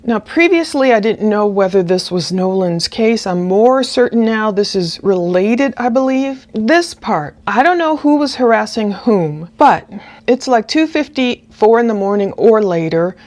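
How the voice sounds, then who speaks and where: A woman hosting a true-crime show, narrating and commenting in a casual, conversational way with animated asides as she reads things out. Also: mid-range voice, measured pace. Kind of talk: casual commentary narration, reading posts aloud.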